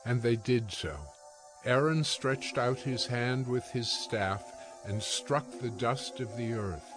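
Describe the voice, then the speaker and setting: A man narrating scripture for a dramatized audio Bible in a measured, solemn storytelling manner. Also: medium pitch, music in the background, rough recording.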